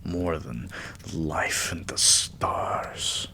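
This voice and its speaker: A man playing an adoring, weary vampire king in a romantic ASMR roleplay, murmuring tenderly and intimately in a breathy, gravelly half-whisper. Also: a bass voice, extremely slow, sharp tone, a little hiss or hum, exaggerated voice.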